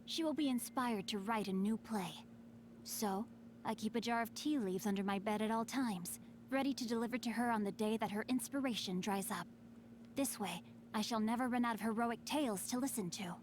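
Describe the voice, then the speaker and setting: An actress playing an earnest young woman in a video game, speaking formally and deliberately. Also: fairly high pitch, darkish tone, a little hiss or hum, natural voice. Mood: earnest, devoted.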